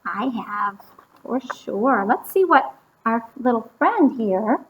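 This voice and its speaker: A woman reading a story aloud to young children, pausing to explain and ask them simple questions. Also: a little high, animated, husky, muffled recording.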